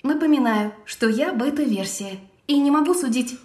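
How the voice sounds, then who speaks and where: A woman's voice: high-pitched, animated, moderate pace, a little mellow. A female artificial intelligence speaks in a roomy, echoing space. She is sardonic and playful, needling the listener with blunt, teasing lines in an audio drama. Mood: wary, dry. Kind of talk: scripted audio film acting.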